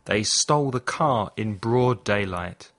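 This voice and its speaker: A man hosting a language podcast, reading a scripted lesson and saying model phrases slowly and clearly for listeners to repeat. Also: fairly low pitch, animated, a bit bright. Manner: slow, carefully articulated model phrases for repetition. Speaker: English teacher, podcast host.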